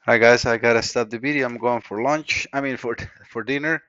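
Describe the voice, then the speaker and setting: A man's voice: mid-range voice, fairly even intonation, a little fast, pushed and bright, no room sound. A man from Costa Rica with a Costa Rican Spanish accent, a native Spanish speaker, thinking aloud as he works through a hands-on electronics repair at his bench. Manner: muttering to himself while working, informal. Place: repair workbench indoors. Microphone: action camera mic. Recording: dull lo-fi action camera audio.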